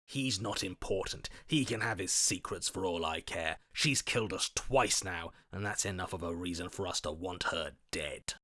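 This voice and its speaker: A man playing a stubborn, combative inner voice in a video game, forceful, scornful and commanding. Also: deep voice, moderate pace, hardly any pauses, no echo, clean studio sound. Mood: grim determination. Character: stubborn, combative male inner voice itching for a fight. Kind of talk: dramatic video game voice acting.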